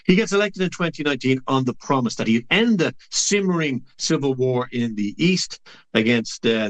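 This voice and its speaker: A man's voice: medium pitch, fairly bright tone, rough recording. An Irish political commentator, a man, talking as a talk show guest in long explanations full of fillers.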